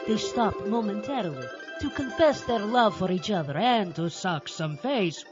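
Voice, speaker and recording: A woman's voice: medium pitch, lively intonation, moderate pace. A woman hosting and narrating a horror show in a campy, melodramatic, scripted style. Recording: muffled lo-fi, loud music behind.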